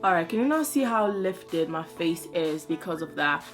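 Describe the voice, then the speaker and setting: A woman's voice: fairly low pitch, animated, normal speed, loud music behind the voice. A young woman whose first language is French, chatting through a makeup tutorial in a sassy, playful way with slang asides. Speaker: beauty vlogger.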